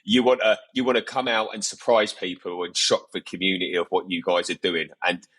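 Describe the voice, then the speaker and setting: Husky-voiced upbeat male host. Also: sits low, level tone, fairly quick, fairly bright tone, low-quality recording. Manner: upbeat, rephrases guests' answers, asks "yeah?". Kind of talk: casual interview.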